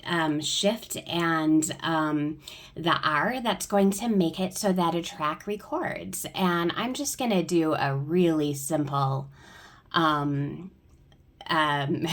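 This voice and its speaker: A woman working as a choir director explains things step by step in an informal spoken tutorial. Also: lowish voice.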